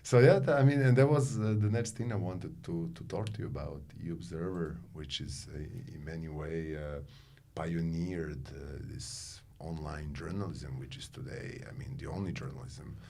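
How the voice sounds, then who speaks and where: A man's voice: extremely low pitch, normal speed, vocal fry, few pauses, dark tone, a little hiss or hum. A man hosting an interview podcast, prompting his guest and summing up her points. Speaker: podcast host. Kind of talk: interviewer conversation.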